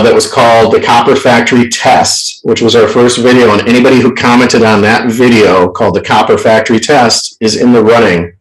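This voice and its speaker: A man with a loud voice hosting a casual live stream in a playful, showman-like way, close to the microphone. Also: medium pitch, low-quality recording. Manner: playful showman, theatrical announcing. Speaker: show host. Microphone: close professional microphone on an online call.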